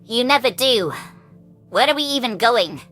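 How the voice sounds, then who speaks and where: A man's voice: very high voice, expressive, normal speed, bright, forceful tone, faint music behind, voice pushed up, exaggerated voice. A man voicing a female alien insectoid general and mother, suspicious and formal, in a sci-fi audio story.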